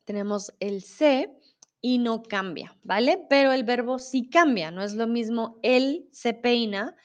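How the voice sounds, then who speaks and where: A young woman with a Bogotá accent teaches Spanish on a live stream. Her voice sits a little high and she speaks slowly, explaining each point with patience. Now and then she stops to read viewer comments aloud. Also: dull audio. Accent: Colombian, Bogotá. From Colombia. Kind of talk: live-stream language lesson reading chat.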